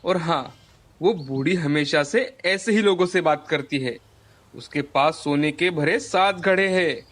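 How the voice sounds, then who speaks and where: A man's voice: sits high, a bit bright, full character voice. A man playing a respectful, gossipy villager in a cartoon moral story, politely giving advice. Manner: polite, advising.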